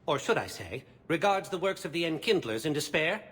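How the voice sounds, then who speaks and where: A man's voice: a little high, very animated, brisk, a bit bright, breathy edge, full character voice. A man playing an analytical alien special agent in a video game, speaking in clipped, matter-of-fact phrases. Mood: alert.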